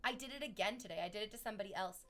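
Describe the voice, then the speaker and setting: A woman's voice: mid-range voice, very fast, a bit bright. A woman chatting casually on a livestream, rambling to her viewers and cooing at her dog in baby talk.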